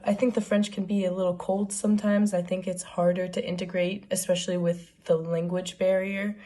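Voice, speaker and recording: A woman of twenty from the United States with an American accent, an exchange student answering interview questions in a relaxed, thoughtful way. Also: fairly low pitch. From United States (Oregon). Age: 20s.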